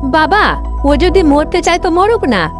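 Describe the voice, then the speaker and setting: A woman's voice: high-pitched, sing-song, unhurried, over music. A woman voicing a proud, fearless young warrior princess in a fairy-tale cartoon, haughty and defiant.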